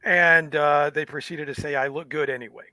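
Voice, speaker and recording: Bright nasal man in a dry close recording. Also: a little high, steady tone, no echo. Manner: quick to laugh, chatty. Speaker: guest. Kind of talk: casual chat.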